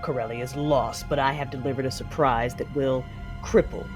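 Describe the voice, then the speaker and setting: Music swells behind a ruthless Sith lord, narrating a space-opera audio drama. The delivery is measured and commanding, with cold menace in every phrase. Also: female voice, extremely low pitch, fairly even intonation. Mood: cold resolve. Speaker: narrator and character. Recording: music under the voice.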